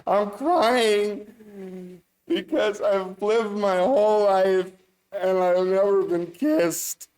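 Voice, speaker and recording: A man voicing a pitiful, weeping young woman in a joke, with a drawn-out, sobbing delivery. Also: high voice, steady tone, darkish tone, voice pushed up. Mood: tearful wailing.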